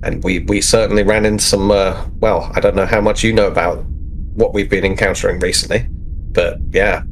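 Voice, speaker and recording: A man's voice: deep voice, steady tone, a little fast, slight background noise, pitched below the performer's own voice, low-quality recording. A man playing an eager, boastful but awkward young ensign in a tabletop roleplay, stammering and repeating his words. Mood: hesitant, eager.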